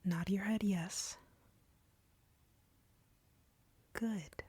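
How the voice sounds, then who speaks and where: Woman playing a slow, whispering, taunting vampire. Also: medium pitch, steady tone, warm tone, no room sound. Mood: mocking, menacing. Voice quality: breathy, husky whisper. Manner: taunting, slow, deliberate, drawling. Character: arrogant, cruel, flirty female vampire captor. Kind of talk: scripted audio roleplay, intimate whispering.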